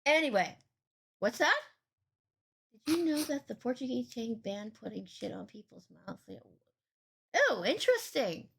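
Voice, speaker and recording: A woman with a big, boomy voice hosts a casual streaming podcast. She rambles cheerfully from topic to topic and swears without a second thought. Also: big pitch swings, unhurried, gravelly, long pauses, fairly bright tone, a little hiss or hum. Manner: bubbly, swears freely, rambling. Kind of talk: casual livestream podcast chat.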